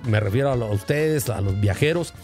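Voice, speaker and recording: A man's voice: medium pitch, fairly quick, a little mellow, soft background music. A Mexican man hosting a casual panel show, joking around in brash slang with plenty of swearing. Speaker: show host.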